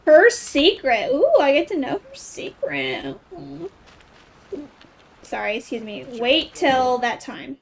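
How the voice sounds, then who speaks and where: High-voiced woman streaming a game. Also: very animated, slow pace, a little hiss or hum. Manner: playful, mock-exasperated asides. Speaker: gameplay streamer. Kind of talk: casual game commentary.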